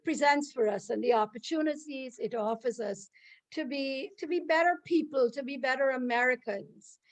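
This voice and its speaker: A woman from Guyana hosts a live online talk. Her voice sits a little high, and she speaks earnestly, with frequent restarts as she looks for the right words. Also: moderate pace. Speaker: host introducing a speaker.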